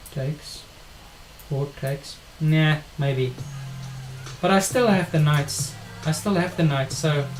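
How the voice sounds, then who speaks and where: A man's voice: fairly high pitch, poor audio. A middle-aged man with a South African accent, casually commentating his own game on a live stream, thinking aloud in an informal, matey way. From South Africa and Australia. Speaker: chess streamer and player.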